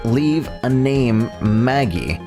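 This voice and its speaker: A male streamer performs video game dialogue in a hammy, theatrical read. The pacing is slow and deliberate, with lively swings in pitch. Game music plays behind the voice.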